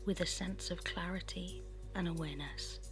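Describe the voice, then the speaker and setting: A woman guiding a meditation in a soft, breathy, soothing half-whisper, reading as scripted narration. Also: fairly low pitch, fairly even intonation, moderate pace, rough recording. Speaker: meditation guide. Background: meditation music.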